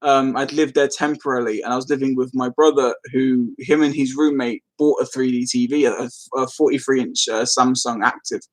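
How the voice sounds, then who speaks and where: A man's voice: medium pitch, little pitch movement, fairly quick, few pauses, poor audio. A man hosting a casual live stream, rambling as he replies to comments and easily amused. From London, England.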